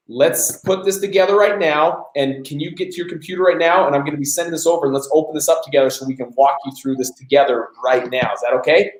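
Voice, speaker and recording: Energetic male host, echoey room. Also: a little high. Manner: energetic, emphatic, addresses audience as guys. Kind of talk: live Q&A coaching.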